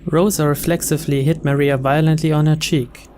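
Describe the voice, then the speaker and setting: A man's voice: a little high, flat delivery, a little fast, darkish tone, a little hiss or hum. A man reading a story aloud as scripted narration for a game playthrough.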